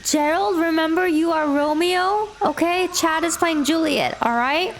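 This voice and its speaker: A woman voicing an impatient female play director who gives firm, slow instructions. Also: extremely high pitch, a little hiss or hum. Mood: directing.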